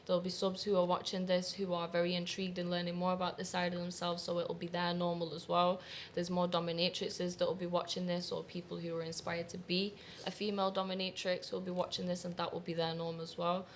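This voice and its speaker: A woman's voice: fairly low pitch, monotone, a little fast, hardly any pauses, slight background noise. A confident woman, speaking candidly and laughing easily as an interview guest. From Manchester, England. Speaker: guest, professional dominatrix. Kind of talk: casual interview chat.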